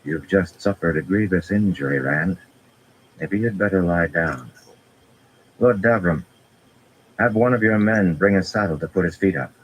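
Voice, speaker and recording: A man's voice: low-pitched, moderate pace, long pauses, slight background noise, pitched above the performer's own voice, close to an everyday voice, poor audio. A man voicing a fierce, stubborn woman healer who talks brusquely, almost growling, in an audiobook. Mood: urging. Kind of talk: audiobook character voice.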